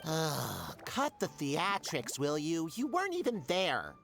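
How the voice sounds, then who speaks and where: Low-voiced, sardonic forest creature. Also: female voice, wildly swooping pitch, slow pace, gravelly, a bit bright, faint music behind, exaggerated voice. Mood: exasperated. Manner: dry, scolding, blunt. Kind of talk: video game voice acting.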